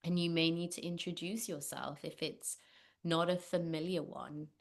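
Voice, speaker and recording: A woman leading a guided meditation, speaking gently and unhurriedly with long pauses. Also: fairly low pitch, steady tone. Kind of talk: guided meditation, spoken instruction.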